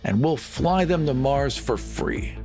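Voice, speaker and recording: Mid-pitched man playing a tabletop game. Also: animated, slow, vocal fry, darkish tone, soft background music. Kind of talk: casual improvised roleplay chat.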